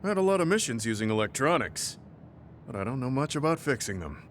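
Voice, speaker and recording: An actor playing a steady, protective, experienced soldier in an anime game, measured and modest. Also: male voice, medium pitch, slight background noise, close to an everyday voice. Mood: steady, concerned.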